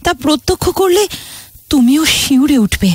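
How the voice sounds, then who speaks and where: A woman playing a sorrowful, wounded motherland in a Bengali audio drama, speaking theatrically and emotively with dramatic pauses. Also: high voice, expressive, no room sound. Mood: grieving. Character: Mother India, sorrowful wounded motherland recounting her past. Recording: dramatic music behind the voice.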